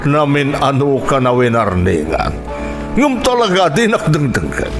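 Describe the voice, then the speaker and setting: A man's voice: mid-range voice, big pitch swings, a little slow. A man with an Ilocano accent playing a stern, exasperated middle-aged father in a radio drama. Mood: stern scolding. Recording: radio drama over clear music.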